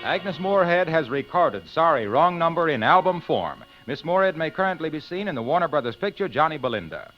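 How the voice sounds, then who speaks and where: An American man with a fairly high, very animated voice pitches like a 1940s radio announcer. His emphatic, folksy sales patter comes through a dull vintage recording. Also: brisk, few pauses, soft background music.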